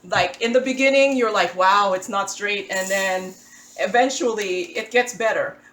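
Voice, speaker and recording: A woman's voice: mid-range voice, normal speed, a bit bright, reverberant, poor audio. A woman from the Philippines chatting casually as she talks through her craft setup, self-deprecating with small restarts. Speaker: crafting channel host. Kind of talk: casual voice-over chat while working on a craft. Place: indoors at a table.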